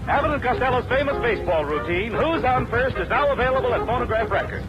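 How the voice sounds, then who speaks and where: A man's voice: extremely high pitch, lively intonation, fast, lo-fi sound, music in the background. A man with an American accent reading scripted 1940s radio announcements as the show's announcer. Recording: noisy vintage radio broadcast.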